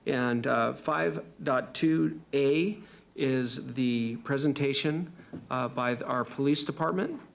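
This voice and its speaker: A man with a gravelly voice chairing a city council meeting as mayor. He speaks haltingly and keeps filling pauses with "uh." Faint room noise sits under his voice. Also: mid-range voice. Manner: halting, many "uh" fillers.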